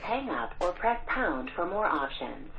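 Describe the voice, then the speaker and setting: A woman's voice: on the low side, measured pace, creaky, background noise. A woman's recorded automated voicemail prompt. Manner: flat, recorded. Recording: dull phone line with background voices.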